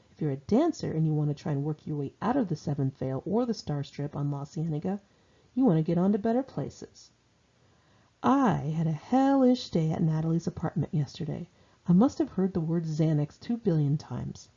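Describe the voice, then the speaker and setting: A woman's voice: deep voice, warm tone, husky. A woman reading her own memoir and diary entries aloud as informal audiobook narration, in a wry, sardonic, conversational way, on a dull lo-fi home recording.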